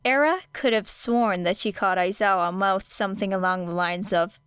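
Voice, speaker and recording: A woman's voice: medium pitch, moderate pace, a bit bright, clean tone, dry recording. A woman reading scripted story narration as an audiobook narrator.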